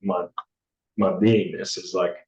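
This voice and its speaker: A man with a hoarse, raspy voice, talking in a halting, reflective way as a podcast guest, full of fillers and restarts. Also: deep voice, flat delivery, a little mellow. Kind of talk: casual reflective chat.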